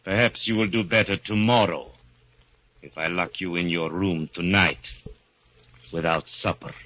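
A domineering brute of a strongman from a vintage radio play. His very low voice is hard-edged and a little husky, and he talks down to others with slow, cold menace. The recording sounds dull and muffled. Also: steady tone, sharp tone, heavily acted. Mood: menacing. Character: cruel, domineering giant strongman guardian. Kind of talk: 1940s radio drama acting.

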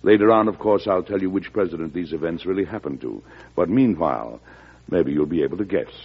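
American president in old radio drama, deep husky voice, folksy but firm, muffled sound. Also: male voice, lively intonation, exaggerated voice. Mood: genial storytelling. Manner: folksy, measured, dryly humorous. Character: weary, wry, firm wartime American president. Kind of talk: old-time radio drama acting, storytelling. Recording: muffled vintage radio recording.

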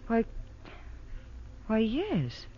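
A scheming nightclub woman, speaks in animated, insinuating swings with a show of innocence. It is old crime-drama radio, dull in sound with some hiss. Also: a little high, drawn-out words, full character voice. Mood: feigned friendliness. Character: scheming jilted nightclub woman named Mona, coolly plotting. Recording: muffled, hissy old radio broadcast.

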